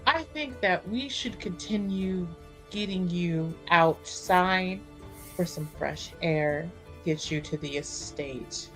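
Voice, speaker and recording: A woman voicing a genteel, protective lady in a tabletop roleplaying game, measured, formal and delicate. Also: on the low side, a bit bright, faint music behind. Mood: tactful.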